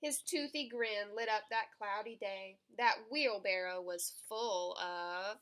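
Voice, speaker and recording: A woman reading a children's picture book aloud in an expressive storytelling style. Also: mid-range voice, slow, slight background noise. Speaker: storybook reader.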